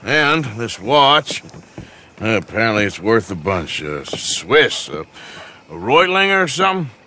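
An actor playing a gruff, sardonic American outlaw in a western video game, with a dry drawl. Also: male voice, mid-range voice, big pitch swings, unhurried, bright, forceful tone, slight background noise. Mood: wry. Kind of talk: video game cutscene acting.

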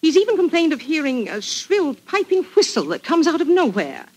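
A woman's voice: high voice, very animated, normal speed, rough recording. An actress playing a clever, self-assured, forthright Englishwoman with a British accent in a 1940s radio drama. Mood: grave, urgent. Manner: crisp, forthright.

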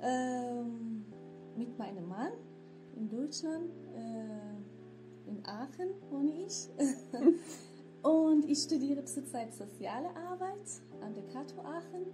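Slow, hesitant woman from northwestern Iran. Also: sits high, lively intonation, over music, rough recording. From northwestern Iran, Kurdistan. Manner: hesitant, searching for words. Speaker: guest, social work student. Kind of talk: casual interview.

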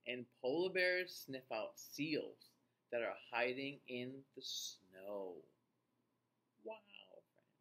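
A man in his forties reading a picture book aloud to young children in an expressive, teacher-like way, with playful asides. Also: mid-range voice, slow. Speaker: children's read-aloud host. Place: small indoor room.

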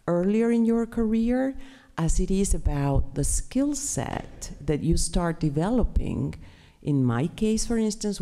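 Woman, Mexican accent, native Spanish speaker, middle-aged, lively intonation, conference panel table mic. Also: low-pitched. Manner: animated, gestures while talking, fillers. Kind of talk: panel talk about her career. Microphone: table mic on stand.

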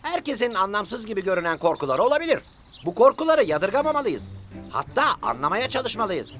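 A man's voice: high-pitched, very animated, a little fast, a bit bright, faint music behind. A man voicing a kindly, reassuring helper in a preschool cartoon, explaining things in an encouraging way.

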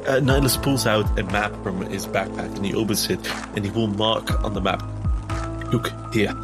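A man playing in a tabletop game, speaking in character in an earnest, halting, thoughtful way. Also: lowish voice, fairly even intonation, over loud music. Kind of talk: in-character roleplay dialogue.

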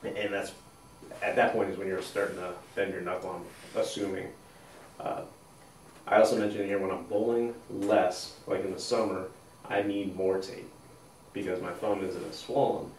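A fairly low-voiced man co-hosts a casual show and moves briskly between topics. He was recorded on a lapel mic in a small studio. The sound has a roomy echo and slight distance, with a faint hiss underneath. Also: level tone, darkish tone. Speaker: co-host of a bowling advice show. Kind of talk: casual show hosting and chat. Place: indoors, small studio against a plain backdrop.

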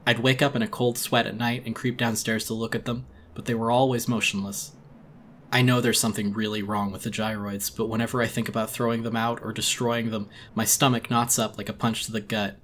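Male horror audiobook narrator: medium pitch, world-weary, brooding, dramatic first-person read. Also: little pitch movement, fairly quick, a bit bright, slight background noise.

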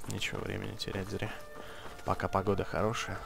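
Let's-play host: lowish, gravelly man, casual muttered commentary, game music behind. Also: monotone, brisk. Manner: mutters item names, dry sarcastic asides.

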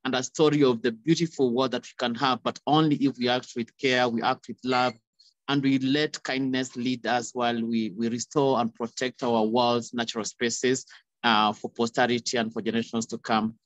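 A man's voice: mid-range voice, flat delivery, normal speed. A young man giving earnest, impassioned remarks as a panel speaker on a video call, talking into a phone. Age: young adult. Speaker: youth activist, panel speaker. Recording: roomy, dull video-call audio.

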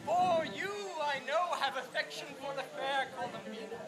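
A woman's voice: high-pitched, expressive, normal speed. A woman playing a lovesick young male poet, declaiming in florid, overblown phrases. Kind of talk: outdoor commedia dell'arte street show. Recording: crowd noise outdoors.

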